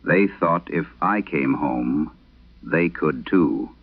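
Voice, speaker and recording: A man playing a weary, haunted soldier husband back from the dead, speaking in a halting, sorrowful way in a horror audio drama. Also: a bass voice, no echo, heavily acted. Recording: dull vintage-radio band-limited sound.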